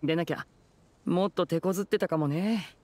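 A man voicing a suave young magician in a game, gentle and reasonable, with a smooth, persuasive manner. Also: sits high, brisk, no room sound, natural voice. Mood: relieved. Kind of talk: game character acting.

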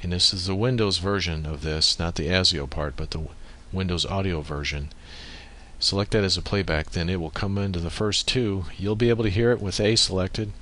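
A man giving a step-by-step software tutorial as screencast narration, speaking into a USB microphone. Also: sits low, fairly even intonation, a little fast.

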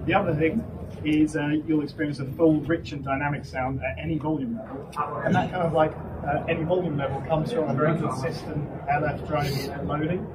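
A man giving a product launch presentation, picked up from a distance in an event room. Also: on the high side. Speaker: company presenter. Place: indoor trade show demo room. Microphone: camera some distance away. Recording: distant mic, very noisy event hall. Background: loud room ambience noise.

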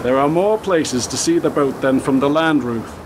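A man's voice: on the high side, moderate pace, noisy background, close to an everyday voice. A man playing a polite, reassuring, understated government official in a radio play. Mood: calm, reassuring.

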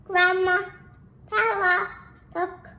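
Very high, halting young reader. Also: little pitch movement, bright, forceful tone. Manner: reads haltingly word by word, echoing prompts. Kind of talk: halting read-aloud of a storybook.

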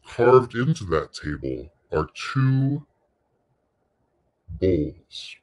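Male meditation narrator, low register, very slow delivery, soothing and unhurried, short phrases with pauses. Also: big pitch swings, a little mellow. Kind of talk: guided meditation.

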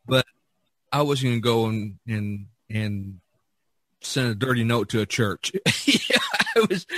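A man hosting a podcast, telling personal anecdotes in a casual, halting way and often repeating words as he goes. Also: medium pitch, fairly bright tone, no echo. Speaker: podcast host and artist.

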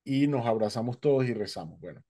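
Man, 40s, testimony speaker, warm low timbre, dry headset sound, voices the people in his story. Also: lively intonation, fast, no room sound. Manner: vivid storyteller, acting out dialogue. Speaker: guest speaker. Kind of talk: personal testimony. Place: home, indoors, virtual background.

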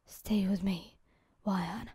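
A woman's voice: mid-range voice, fairly even intonation, very slow, dark tone, husky, dry recording, pitched below the performer's own voice, heavily acted. A woman voicing a fierce, protective young man in a story, terse and intense. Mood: pleading.

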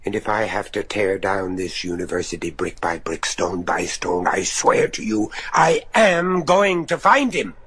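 Stern, determined American judge. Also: male voice, sits low, expressive, slightly rough, full character voice. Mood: vowing, resolute. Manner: deliberate, forceful, formal. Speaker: actor. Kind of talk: gothic radio drama acting.

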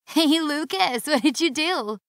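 A performer voicing an excitable, teasing young girl, calling out in drawn-out shrieks. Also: high voice, no room sound, studio quality, pitched above the performer's own voice, full character voice.

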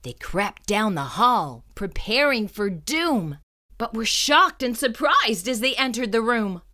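Female children's storyteller, higher-pitched and very animated, dramatic suspenseful delivery. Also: slow pace. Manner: suspenseful, theatrical, emphatic. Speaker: storyteller reading a children's book aloud. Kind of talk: dramatic read-aloud narration.

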